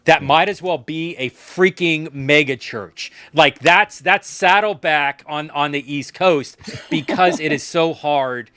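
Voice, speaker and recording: A man hosting a panel conversation on a livestream, animated and emphatic, often repeating words. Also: on the high side, takes it slowly, hardly any pauses, clear, resonant tone, no echo. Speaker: host. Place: livestream call.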